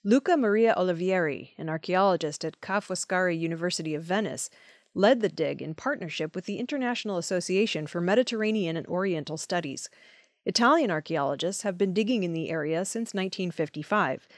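A woman's voice: fairly low pitch, lively intonation, brisk, no room sound, studio quality. A woman reading scripted news stories as the presenter of an audio news program. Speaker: news reader.

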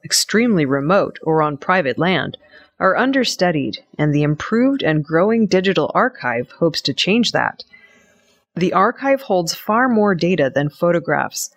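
A woman reading scripted news narration as the presenter of an audio news programme. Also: lowish voice. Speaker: news reader.

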